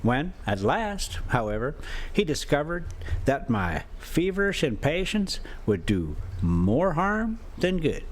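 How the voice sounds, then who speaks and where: A man's voice: fairly high pitch, very animated, takes it slowly, slight background noise. An American man with a folksy, informal way of talking, a vlogger who chats casually and reads stories aloud. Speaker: travel vlogger and storyteller. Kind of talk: casual rambling chat mixed with reading a classic novel aloud.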